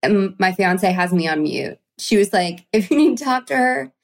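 A woman's voice: mid-range voice, expressive, normal speed. A woman country singer, a guest in a casual interview, chatting and telling upbeat stories.

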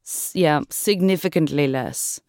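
A woman speaking with a patchy, put-on Dutch-sounding accent, answering interview questions in an improvised comedy with halting, sheepish restarts. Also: low voice, a little mellow, no echo, studio quality. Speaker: interviewee in a mock documentary.

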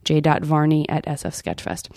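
A woman's voice: low voice, expressive, moderate pace, vocal fry, darkish tone, no room sound, clean studio sound. An American woman hosting a podcast, chatting casually and reading out messages in a rambling, effusive way with self-interruptions. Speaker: podcast host. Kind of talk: casual chat, reading out listener emails.

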